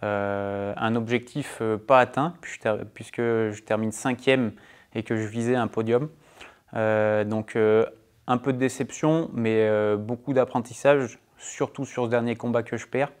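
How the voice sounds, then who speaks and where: A man's voice: mid-range voice, steady tone, measured pace, clean tone. A man in his twenties, a para-judo athlete, answering interview questions in a hesitant way with many fillers. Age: 20s.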